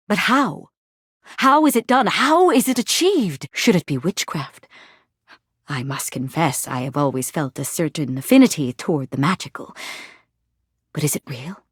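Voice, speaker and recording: A woman voicing a proud, fervent warrior woman in a video game, theatrical and declamatory, in archaic high-flown speech. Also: slightly rough, no room sound, clean studio sound. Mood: astonished, hopeful. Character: proud, fervent female warrior, grandiose and lovestruck. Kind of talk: theatrical game character acting in archaic English.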